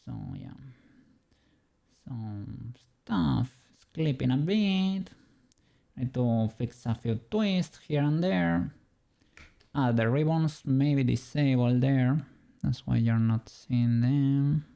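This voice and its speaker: Slow, gravelly Spanish-accented man. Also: medium pitch, mellow. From Spain. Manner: musing aloud, chatting with viewers, light laughs. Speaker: streamer and 3D rigger. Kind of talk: casual livestream chat while working.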